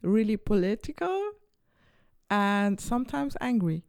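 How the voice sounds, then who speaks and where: A woman speaking English with a Persian accent, pausing often between short phrases as she answers questions. Her voice has a gravelly fry and a clear, resonant core. The recording is close and dry. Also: medium pitch, animated, a little mellow, dry recording. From Iran. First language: Farsi. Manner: halting, phrase by phrase, with many pauses and fillers. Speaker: interview guest, singer.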